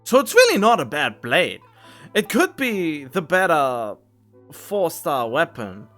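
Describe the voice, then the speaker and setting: A man's voice: fairly high pitch, wildly swooping pitch, slow, a bit bright, faint music behind. A man giving casual gameplay commentary as a streamer, musing to himself in a rambling way. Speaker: game streamer.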